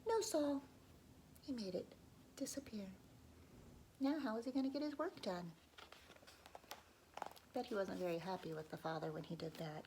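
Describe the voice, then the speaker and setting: A woman reading a folk tale aloud to children, pausing to ask them questions and dropping into whispers. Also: medium pitch, darkish tone, slight background noise. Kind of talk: children's read-aloud storytelling.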